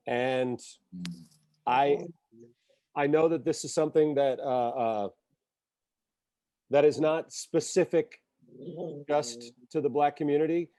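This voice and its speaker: A man with a warm, mellow voice reads submitted questions to a panel. The sound is dry and close, and he hesitates between phrases. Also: a little high, no room sound. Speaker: co-moderator reading audience questions. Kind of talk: moderating a panel discussion.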